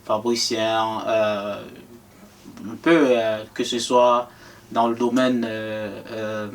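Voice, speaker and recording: A man's voice: fairly low pitch, level tone, slow pace. A young man from Cameroon, a guest author answering interview questions with many hesitations and restarts. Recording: distant-sounding voice.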